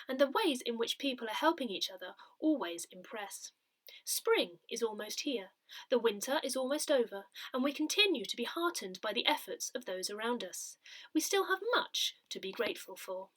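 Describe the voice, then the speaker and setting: A woman reading written articles aloud in a measured, clearly phrased way, as for an audio newsletter. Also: fairly high pitch, fairly bright tone. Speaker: newsletter reader.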